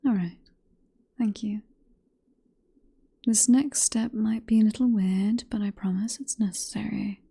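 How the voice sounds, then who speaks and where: Vampire professor for ASMR roleplay, played by a woman: British, female, hushed breathy whisper, deeply mellow tone, possessive, teasing and coaxing. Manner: coaxing, teasing, unhurried murmur. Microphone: close ASMR microphone.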